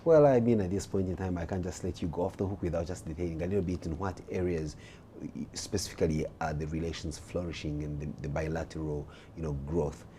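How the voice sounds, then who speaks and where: A male talk show host asking lively, probing questions in a playful, theatrical manner. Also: sits low, quick pace, hardly any pauses, a little hiss or hum.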